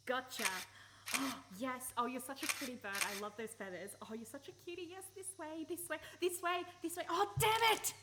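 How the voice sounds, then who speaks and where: An Australian woman playing a blunt, teasing young bird-watcher who is quick to snap, acted naturally for a radio play. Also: high voice, close to an everyday voice. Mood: cooing. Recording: live recording with faint background noise.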